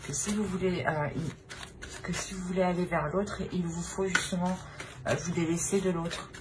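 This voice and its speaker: Low-voiced woman reading tarot, noisy recording. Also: fairly even intonation, normal speed. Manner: hesitant, repetitive phrasing, talking directly to the listener. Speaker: tarot reader. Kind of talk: improvised tarot card reading to viewers. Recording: clear background noise.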